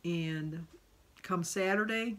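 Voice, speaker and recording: A woman's voice: lowish voice, takes it slowly, a bit bright. A middle-aged woman talking casually and candidly in a personal vlog, rambling from one thought to the next. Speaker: vlogger.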